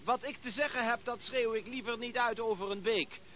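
An actor playing a courteous, formal gentleman visitor with a slightly hesitant manner in a Dutch radio play. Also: very high voice, fairly even intonation, brisk. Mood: flustered. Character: polite, slightly flustered gentleman visitor, lost on the way. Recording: tinny band-limited old radio play recording, noisy.

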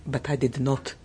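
A woman's voice: a bass voice, dark tone. A loyal housekeeper of long service, stern and resentful. She speaks in a curt, guarded way as in a radio drama, over a faint bed of noise.

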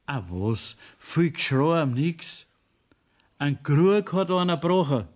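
An actor playing a grumbling, offhand court servant in a Bavarian dialect radio play, curt and drawling. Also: male voice, on the high side, expressive, slow pace.

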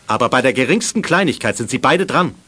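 Fast, animated, authoritative director. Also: male voice, medium pitch, a little hiss or hum. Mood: stern. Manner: authoritative, formal, articulate. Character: stern but fair male director of a youth village. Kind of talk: 1980s German children's radio drama acting.

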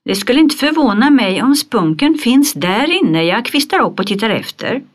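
A woman's voice: on the high side, lively intonation, pitched above the performer's own voice. A woman voicing a bold, cheerful young girl who talks eagerly and with great self-assurance in a storybook reading. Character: bold cheerful girl, red-haired, full of tall tales.

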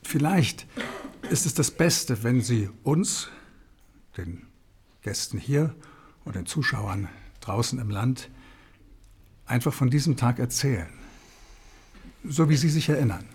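A television talk show host in a radio play. His mid-pitched voice is husky, and he presents gravely and formally, weighing each phrase with solemn earnestness. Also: expressive, darkish tone, full character voice. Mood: careful, probing. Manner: grave, measured, rhetorical.

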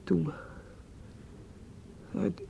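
A man's voice: drawn-out words, mellow, lo-fi sound, a little hiss or hum. An actor in a radio play voicing a remorseful young male student who stammers through a tearful confession. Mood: anxious. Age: young adult. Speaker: student questioned by police.

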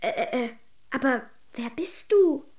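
A woman's voice: high-pitched, lively intonation, a little slow, darkish tone. An actress playing a sceptical, down-to-earth young girl in a children's radio drama, blunt and sometimes hesitant. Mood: puzzled.

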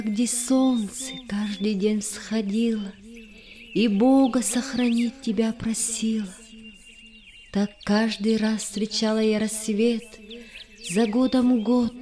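A devoted wife pining for her beloved in a poetic radio drama. The voice is high, very slow and evenly pitched, tender and mournful. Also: dark tone. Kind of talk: poetic audio drama monologue in verse.

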